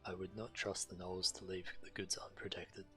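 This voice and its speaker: A man's voice: low-pitched, level tone, normal speed, sharp tone, faint music behind. A man streaming a game, casually commenting and reading quest text aloud.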